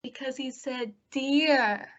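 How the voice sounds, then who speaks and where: A woman's voice: high voice, fairly even intonation, slow, lo-fi sound. A woman playing a sweet, naive, dim-witted young woman in an old-time radio sitcom, guileless and childlike. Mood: naive, eager.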